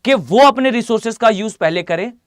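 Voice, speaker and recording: A hoarse man with an Indian accent teaching a live online class, often asking students to reply. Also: high-pitched, expressive, a little fast, no echo, clean studio sound. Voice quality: mixes Hindi with English phrases. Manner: addresses students directly, asks them to reply.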